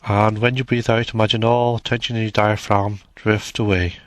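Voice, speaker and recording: Low-voiced man guiding a slow meditation. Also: level tone, dry recording. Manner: slow, soothing, measured with long pauses. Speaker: meditation guide. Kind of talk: guided meditation narration.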